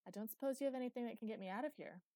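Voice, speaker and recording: A woman voicing a cocky, playful teenage boy acrobat in an audiobook, chattering in an excitable, teasing, boastful way. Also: medium pitch, normal speed, dry recording, clean studio sound, natural voice. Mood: teasing, elated. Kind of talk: audiobook character dialogue.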